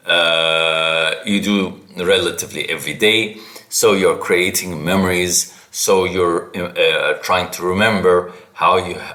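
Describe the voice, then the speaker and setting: A man whose first language is Arabic, hosting a casual solo podcast, haltingly searching for words and repeating them for emphasis. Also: deep voice, sharp tone. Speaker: podcast host.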